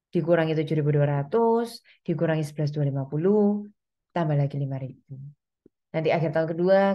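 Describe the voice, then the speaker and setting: A woman's voice: low-pitched, lo-fi sound. A woman giving an explanatory lecture, speaking step by step with frequent reminders to her students. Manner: instructional, step-by-step, with frequent reminders and check-in tags. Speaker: accounting lecturer.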